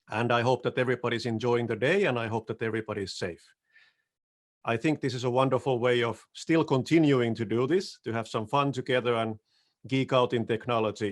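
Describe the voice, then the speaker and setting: A middle-aged man from Finland with a Finnish accent, presenting a technical talk over a video call in a relaxed, self-deprecating way. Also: medium pitch. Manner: relaxed, self-deprecating, occasional restarts and throat clearing. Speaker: solutions architect presenting. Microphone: headset on video call.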